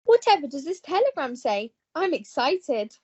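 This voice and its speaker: Woman as gushing young heroine, high-pitched, posh and plucky, old-fashioned radio melodrama, lo-fi sound. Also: big pitch swings, moderate pace, darkish tone. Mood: gushing, excited. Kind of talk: melodramatic radio play acting.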